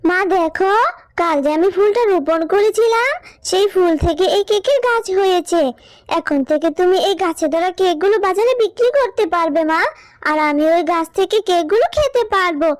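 A woman's voice: extremely high pitch, moderate pace, no echo. A woman voicing a poor little village girl in a cartoon, whiny and pleading like a child. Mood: excited. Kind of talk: cartoon voice acting.

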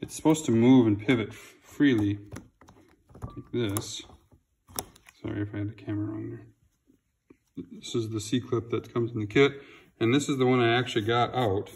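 A man's voice: mid-range voice, normal speed, long pauses, slight background noise. A man in his home garage, casually explaining a repair step by step while filming close over his workbench. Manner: relaxed, plain-spoken, with ums and restarts. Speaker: home mechanic. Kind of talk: casual how-to narration.

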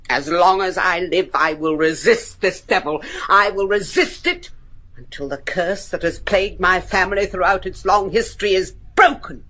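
A proud old matriarch in a gothic radio drama, tormented and embittered. Her voice is husky and breathy, with wide theatrical swings in pitch and an imperious bearing. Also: a bit bright, heavily acted. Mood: defiant. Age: older.

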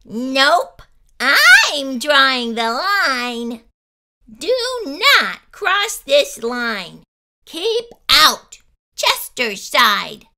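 A woman voicing a vain, bossy, mischievous male cat in a squeaky, childlike voice for a storybook. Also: very animated, sharp tone, heavily acted. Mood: defiant. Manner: boastful, defiant, drawn-out delivery.

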